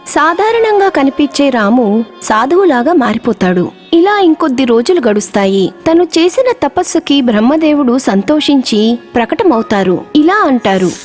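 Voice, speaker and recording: High, lively female storyteller over music. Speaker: narrator. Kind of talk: scripted children's story narration.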